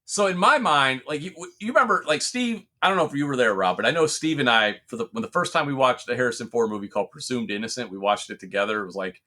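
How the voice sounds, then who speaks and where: Bright-voiced man in casual podcast chat. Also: mid-range voice, quick pace, few pauses. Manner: rambling, profane, animated storyteller. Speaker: podcast co-host.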